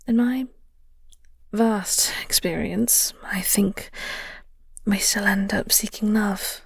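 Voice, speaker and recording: A woman playing a flirty, tender vampire in an intimate audio roleplay, breathy and half-whispered, with a halting, teasing delivery. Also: medium pitch, steady tone, no room sound, clean studio sound, heavily acted. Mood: wistful, reflective. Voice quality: breathy, husky. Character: flirty, gentle vampire nemesis comforting a heartbroken human.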